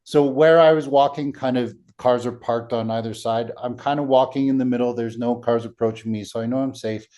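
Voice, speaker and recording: A man hosts a relaxed podcast conversation in a deep, dark-toned voice. He tells his stories step by step and tags his points with "right?" to check in with the listener. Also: fairly quick, hardly any pauses. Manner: storytelling, frequent "right?" tags. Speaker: podcast host.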